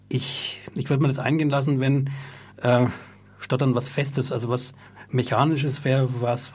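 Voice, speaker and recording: A man's voice: medium pitch, a little mellow, husky. A man hosting a radio talk show, speaking in a thoughtful, reflective way with an occasional stutter. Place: radio studio.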